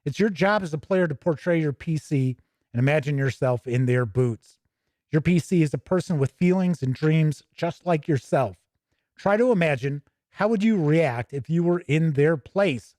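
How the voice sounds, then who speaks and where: A man's voice: on the high side, expressive, normal speed, darkish tone, no room sound. A man hosting a tabletop gaming show, casually thinking aloud and drifting into asides as he pages through a book. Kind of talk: casual live commentary while paging through a rulebook.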